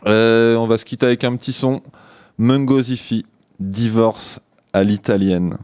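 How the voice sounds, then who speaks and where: A man hosting a community radio show, chatting casually and sounding tired, pausing often on filler sounds into a studio mic. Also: medium pitch, steady tone, darkish tone, clear, resonant tone, no room sound. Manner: hesitant, many filler pauses. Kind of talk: casual on-air chat. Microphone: studio microphone.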